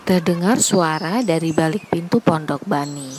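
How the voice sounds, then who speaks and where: A woman's voice: sits low, moderate pace, over loud music. A woman narrating a scripted children's fable as a storyteller.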